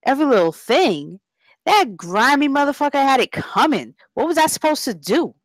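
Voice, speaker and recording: A woman acts out a young streetwise gang member in a drama read. Her voice is fairly high and very animated. She sounds slangy, loyal and defiant. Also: moderate pace, dry recording. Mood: indignant. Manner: slangy, defensive, casual. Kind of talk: script table read.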